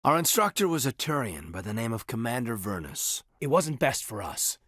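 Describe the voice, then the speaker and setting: An actor playing an earnest, reserved military officer in his thirties in a sci-fi video game, speaking in a measured, hesitant, husky voice. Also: medium pitch, very animated, fairly bright tone, no room sound, studio quality. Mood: somber, reflective. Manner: hesitant, measured, sincere, deferential. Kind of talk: video game character dialogue.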